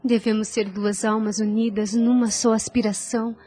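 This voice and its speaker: A woman's voice: medium pitch, level tone, moderate pace, mellow, lightly acted. An actress playing a devout young woman in a solemn audio drama, tender, self-denying and resolute, speaking in lofty, formal phrases. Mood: earnest, gentle. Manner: lofty, formal, earnest delivery. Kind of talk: solemn audio drama acting of a religious novel.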